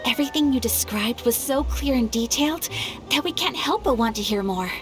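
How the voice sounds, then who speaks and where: A bright, curious young woman on her travels in an anime game, acted by a woman. Her high, girlish voice is enthusiastic and very animated, with music behind it. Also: brisk, close to an everyday voice. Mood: cheerful, friendly.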